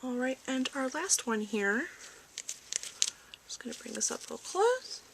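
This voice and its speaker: Soft gentle woman doing asmr doctor checkup, kinda high voice, whispery bits, slight noise behind it. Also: very animated, measured pace, vocal fry. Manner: gentle, hushed, reassuring, dropping into whispers. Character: polite research lab doctor giving a check-up. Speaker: ASMR roleplayer playing a lab doctor. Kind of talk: soft-spoken ASMR medical exam roleplay.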